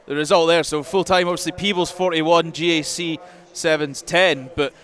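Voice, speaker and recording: A man's voice: a little high, fairly even intonation, normal speed, a bit bright. A man giving chatty, conversational analysis as a rugby co-commentator. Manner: chatty, running explanations peppered with "you know". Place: commentary box at a rugby ground. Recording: faint outdoor ground noise.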